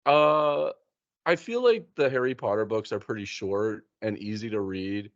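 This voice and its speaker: A man's voice: lowish voice, unhurried, dry recording, lo-fi sound. A man chatting casually as a podcast host, in an informal, slangy way. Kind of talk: casual chat.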